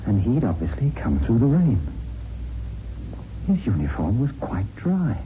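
An actor playing an earnest, modest hero of a railway ghost tale in a 1960s radio drama. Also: male voice, medium pitch, huge pitch swings, measured pace. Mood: modest, puzzled. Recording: dull, noisy old radio recording.

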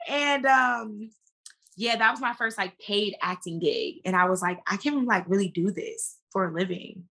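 A woman with a bright, forceful voice speaks as a guest in a casual podcast chat. She is an actress who tells things in a slangy, emphatic way, with plenty of animation. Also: medium pitch, unhurried, gravelly. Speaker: guest, stage and screen actress.

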